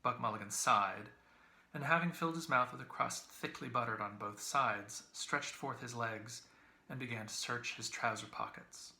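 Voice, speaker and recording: A man reading literary prose aloud as an audiobook narrator, expressive yet measured and precise. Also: mid-range voice, roomy sound. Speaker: audiobook reader, English professor.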